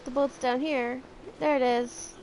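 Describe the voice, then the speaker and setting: A woman's voice: high-pitched, steady tone, measured pace, darkish tone, a little hiss or hum. A woman streaming her reaction to a video game, casually reading dialogue choices and commenting.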